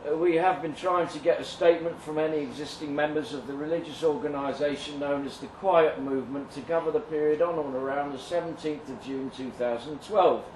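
Brief: actor as official detective, deadpan and wooden, fairly high male voice, echoey room. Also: brisk, few pauses, lightly acted. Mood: stiff, deadpan. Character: formal, deadpan English police inspector, stiffly official. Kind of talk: dramatic monologue read at a live story event. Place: live reading in a pub function room. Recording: echoey room, distant mic, noisy ambience.

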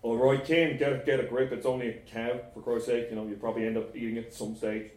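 A callous young man read by a male storyteller, smirking and dismissive, with a practical streak. His medium-pitched voice sits in an echoing room. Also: little pitch movement, fast, darkish tone, slight background noise, close to an everyday voice. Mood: scornful. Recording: roomy, echoing sound.

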